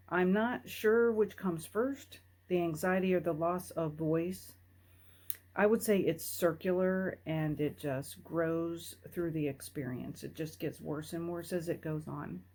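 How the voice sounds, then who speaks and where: A woman hosting a personal talk, speaking earnestly and deliberately with pauses between phrases. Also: lowish voice, a little mellow. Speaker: host.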